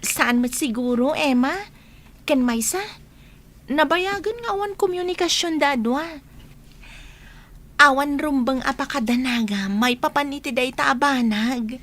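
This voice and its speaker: A woman's voice: sits high, measured pace, long pauses, a bit bright. A woman playing a chatty, supportive young best friend in an Ilocano radio drama. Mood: reassuring.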